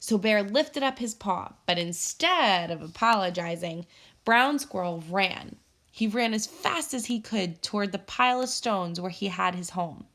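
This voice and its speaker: A woman with a medium-pitched voice reads a storybook aloud for children. She is expressive and speaks as a teacher does to her pupils. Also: measured pace.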